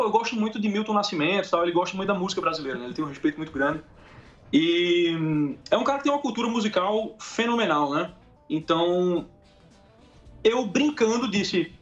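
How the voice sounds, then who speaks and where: A Brazilian man giving casual, colloquial interview answers, haltingly, with frequent little tag questions. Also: sits high, muffled recording. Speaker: interview guest, musician.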